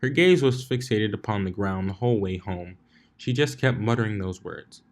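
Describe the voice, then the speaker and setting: A man with a fairly low voice reads fiction aloud. He works as the narrator, delivering scripted prose between the characters' lines. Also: expressive, normal speed. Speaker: fan fiction reader, narrator.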